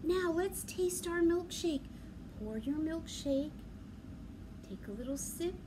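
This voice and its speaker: A middle-aged woman talking to young children as a library story time host, in an animated, sing-song way with simple questions. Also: very high voice, slow. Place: large library room with big windows, indoors. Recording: clear background noise, room ambience.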